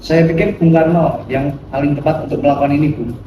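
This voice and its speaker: A man's voice: on the high side, fairly even intonation, fairly quick, lo-fi sound, natural voice. A man acting an earnest, insistent young Indonesian independence activist in formal historical dialogue. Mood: urgent. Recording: echoey room, distant mic, faint noise.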